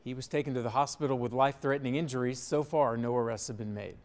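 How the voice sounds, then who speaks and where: A man's voice: medium pitch, steady tone, fast. A man with an American accent reading scripted local news as a television anchor in a studio.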